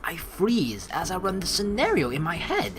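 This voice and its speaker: Low-voiced, animated woman gamer. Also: normal speed, faint music behind. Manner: animated, informal, chatty asides. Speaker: let's play host. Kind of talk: gameplay commentary, reading game text aloud.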